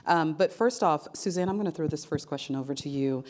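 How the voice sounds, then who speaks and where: Low-pitched woman host, upbeat moderator of a panel. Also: brisk, darkish tone.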